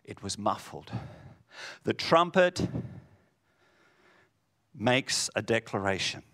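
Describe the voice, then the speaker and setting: A man preaching a sermon in a booming voice, swinging from loud declarations to hushed lines. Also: expressive, slow.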